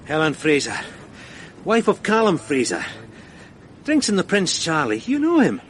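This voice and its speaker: An actor playing a patient, dryly ironic police sergeant in a radio drama, measured and deadpan. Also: male voice, on the high side, a little hiss or hum. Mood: matter-of-fact.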